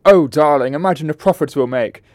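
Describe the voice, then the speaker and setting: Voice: medium pitch, a little fast, darkish tone, no echo, close to an everyday voice. A performer voicing a stern, calculating villain's wife with a smug, scheming manner.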